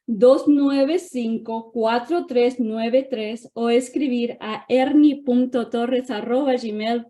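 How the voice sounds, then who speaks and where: Effusive, unhurried woman host with high voice. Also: mellow. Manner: effusive, affectionate, exclamatory. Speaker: host of an online gathering, interviewing a guest. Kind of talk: chatty welcome and announcements. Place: online video call.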